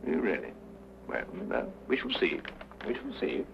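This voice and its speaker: An actor playing a cool, inquisitive gentleman detective, musing and courteous, in an old-time mystery drama. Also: extremely low pitch, wildly swooping pitch, unhurried, vocal fry, full character voice. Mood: musing doubt. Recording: dull old radio recording.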